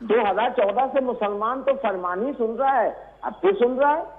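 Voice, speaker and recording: Very high-pitched indignant man, thin call audio. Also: moderate pace, narrow, tinny audio. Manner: indignant, repetitive, emphatic. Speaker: religious scholar, debate guest. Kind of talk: argumentative TV debate. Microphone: remote call link. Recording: thin, band-limited remote call audio.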